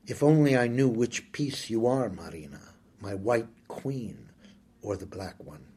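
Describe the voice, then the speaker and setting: A man reading a first-person story aloud, slow, brooding and intimate. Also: medium pitch, warm tone, slight background noise. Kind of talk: scripted first-person audiobook narration.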